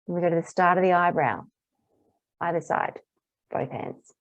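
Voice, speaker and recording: A woman practitioner calmly guiding a tapping exercise in repeated phrases, her voice a little hoarse. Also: lowish voice, normal speed, no room sound. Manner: calm, repetitive guiding phrases. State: slightly hoarse, clearing throat. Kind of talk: guided tapping lesson. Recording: dull, muffled dry recording.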